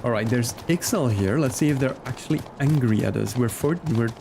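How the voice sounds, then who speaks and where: A man's voice: medium pitch, fairly quick, creaky, noisy background. A man from Belgium who speaks English as a second language, chatting casually with viewers as a live game streamer. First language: not English, learned it from video games. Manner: chatty, greets viewers by name.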